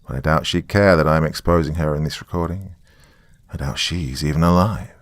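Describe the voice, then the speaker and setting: Haunted male writer, performed by a male narrator: low, dry close sound, wry first-person horror confession. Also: a little fast, no echo. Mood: grave, confiding. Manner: musing, wry, conversational asides. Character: weary, frightened male writer recording a confession on tape.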